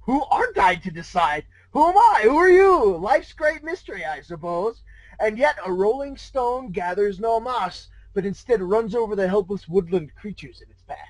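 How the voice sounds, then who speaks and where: A man's voice: high voice, expressive, normal speed, no room sound, poor audio. A man voicing an eccentric, smug old man with a theatrical, rambling manner in a story read aloud. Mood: smug, musing. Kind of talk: fan fiction read aloud in character.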